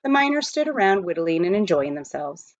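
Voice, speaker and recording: Expressive woman reading a storybook. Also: fairly low pitch, fairly quick, no room sound, lo-fi sound. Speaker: audiobook reader. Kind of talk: expressive scripted storybook narration.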